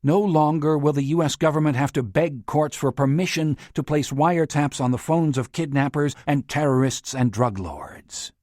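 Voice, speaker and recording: A male audiobook narrator voicing a smooth-talking tycoon who delivers a grand speech in a velvety, oratorical manner. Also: a little high, moderate pace, few pauses, darkish tone, no room sound, studio quality, lightly acted.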